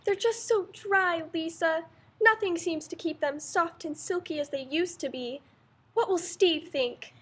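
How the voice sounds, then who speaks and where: A woman's voice: extremely high pitch, unhurried. A woman playing a girlish young wife in an old radio soap advertisement, with gushing scripted delivery. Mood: fretful.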